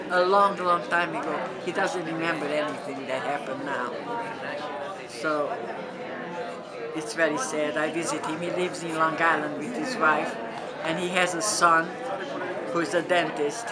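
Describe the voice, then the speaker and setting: An elderly woman from Transylvania, a native Hungarian speaker with a Hungarian accent, recalling her life in a conversational way and addressing her listeners directly. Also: fairly low pitch. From Transylvania, Romania. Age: older, 70s or 80s. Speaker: Holocaust survivor giving testimony. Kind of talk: spoken personal recollection to an audience. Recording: large room with audience murmur.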